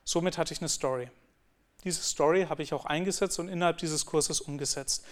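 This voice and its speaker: Earnest German-speaking male lecturer, slightly high. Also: brisk. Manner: earnest, explanatory, with frequent restarts. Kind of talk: conference talk on teaching.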